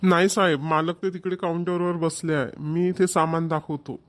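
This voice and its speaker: A man's voice: a little high, level tone, normal speed, close to an everyday voice. A man voicing a polite, deferential shop salesman in a Marathi cartoon, with a Maharashtrian accent. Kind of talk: cartoon voice acting.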